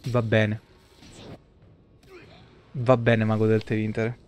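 A man's voice: fairly low pitch, completely flat delivery, slow pace, darkish tone, smooth. A man, giving casual, blunt and sweary live game commentary to his chat. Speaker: live streamer. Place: indoor room at home, streaming setup. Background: video game music.

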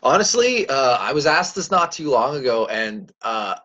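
Raspy young man on a video call. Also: medium pitch, normal speed. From Canada. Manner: relaxed, rambling, laughs easily. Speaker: country singer, guest. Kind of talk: casual interview chat. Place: small room at home. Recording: dull lo-fi video call audio.

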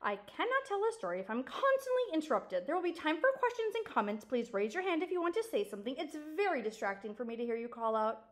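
A woman's voice: on the high side, a little fast, darkish tone, lightly acted. A woman voicing a prim, bossy little schoolgirl who tells stories to her class in a firm, proper way.

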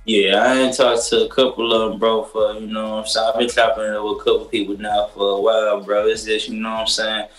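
A man's voice: fairly low pitch, flat delivery, fast, hardly any pauses, fairly bright tone. A man in his twenties, a rapper guest, talking casually on a couch with loose slang and lots of fillers, heard through a video call. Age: 20s. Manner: laid-back, loose slang, many fillers. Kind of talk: casual interview chat. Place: living room couch, indoors. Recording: roomy echo, faint music.

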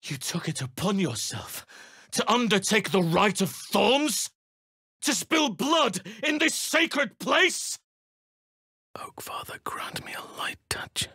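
An actor playing a burly middle-aged male elf druid in a fantasy game, earnest and gentle, with measured, formal phrasing. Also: high-pitched, lively intonation, vocal fry, husky, no room sound, in a whisper, studio quality, full character voice. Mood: angry, indignant, then hushed. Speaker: video game character actor.

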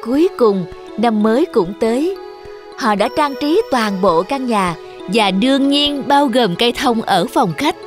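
A woman's voice: fairly high pitch, lively intonation, a little slow, soft background music. A woman reading scripted fairy tale narration for children.

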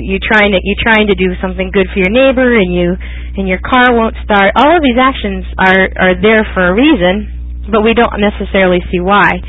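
A man's voice: sits high, no room sound. A man teaching a casual online religious study class, rambling and often restarting his phrases. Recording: dry, phone-like online voice chat.

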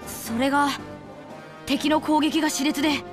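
Eager boy soldier, high pitch, flustered, earnest, game cutscene. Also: flat delivery, a little slow, over music. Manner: earnest, stammering. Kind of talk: video game cutscene acting.

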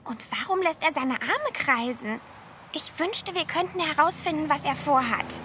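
Little girl detective, very high, bright, eager, curious, children's audio drama, faint background noise. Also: lively intonation, normal speed. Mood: curious, impatient.

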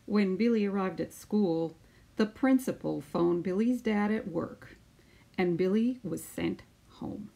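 Gravelly-edged middle-aged woman reading to children. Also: medium pitch, measured pace, dark tone. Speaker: teacher reading a picture book aloud to pupils. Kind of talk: children's storybook read-aloud.

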